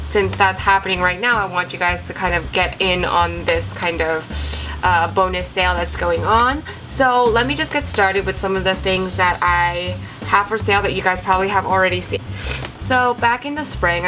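A young woman with a stuffy, congested voice, chatting casually as she shows her handmade craft pieces, apologetic and full of ums and likes. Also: medium pitch. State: congested from a cold. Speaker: craft channel host. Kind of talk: casual show-and-tell vlog. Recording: clear music behind the voice.

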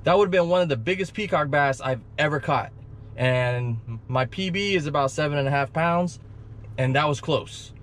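Man, thirties, casual, excited, fishing vlog, outdoor camera audio, clear background noise. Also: on the high side, moderate pace. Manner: excited, chatty, hushed when stalking fish. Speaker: fishing vlogger. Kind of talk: casual vlog narration and coaching. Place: outdoors by a canal, sometimes in a car. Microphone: action camera.

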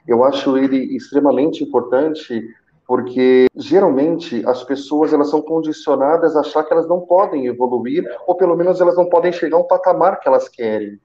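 A man in his thirties talks earnestly through a headset on a video call and often repeats words as he restarts. His voice is mellow and dark. The call audio sounds muffled and echoes in the room. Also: fairly high pitch, brisk. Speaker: senior call center manager, guest. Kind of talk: live video chat, telling his career story.

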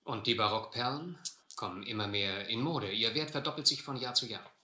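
A man acting a shrewd, adventurous seafarer in a radio drama, deliberate and controlled with a touch of irony. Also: on the low side, sharp tone. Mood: coolly confronting. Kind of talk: radio drama acting, first-person narration and dialogue. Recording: roomy, slightly noisy old radio-play recording.

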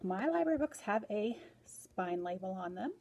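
A woman's voice: mid-range voice, lively intonation, moderate pace, vocal fry, darkish tone. An American woman in her forties, a school librarian, explaining things to pupils at a desk in a small room. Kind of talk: instructional chat to pupils.

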